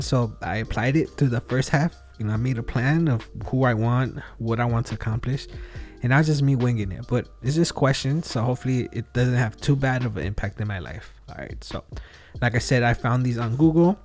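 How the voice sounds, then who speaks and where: Male host, thirties, mid-pitched voice, solo reflective monologue that rambles and second-guesses itself, faint music underneath. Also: a little mellow. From outside the US. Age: 30s. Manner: rambling, self-questioning, with frequent fillers and restarts. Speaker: podcast host.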